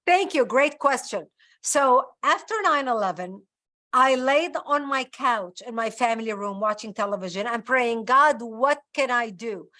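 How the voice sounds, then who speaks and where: A woman's voice: a little high, lively intonation, unhurried, bright, forceful tone. A woman in her fifties from the Middle East, whose first language is Arabic, telling her story in an interview with emphatic, repeated phrases. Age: 50s. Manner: emphatic, building repetitions. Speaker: guest.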